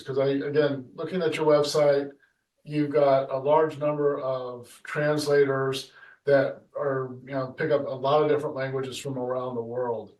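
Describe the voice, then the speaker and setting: A man's voice: medium pitch, darkish tone, distant mic. A male interview host asking curious, somewhat rambling questions. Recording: roomy sound from off mic.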